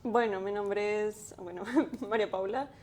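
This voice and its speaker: A woman's voice: mid-range voice, unhurried, a little mellow. A woman, a graphic designer guest telling her story thoughtfully with many fillers. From Colombia. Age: 20s. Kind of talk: interview.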